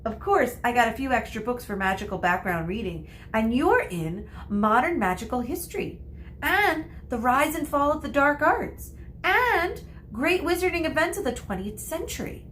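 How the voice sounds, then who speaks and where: A woman's voice: high-pitched, big pitch swings, moderate pace, fairly bright tone, a little hiss or hum, pitched above the performer's own voice. A woman voicing a bossy, eager know-it-all young witch girl in a storybook, chattering in a breathless, self-assured way.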